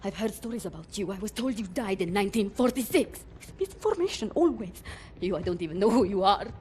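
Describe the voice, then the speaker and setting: An actress playing a brisk, calculating analyst in a video game, clipped and precise in manner. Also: female voice, medium pitch, lively intonation, a little mellow, a little hiss or hum, natural voice. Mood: flustered, dry. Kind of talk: cinematic video game acting.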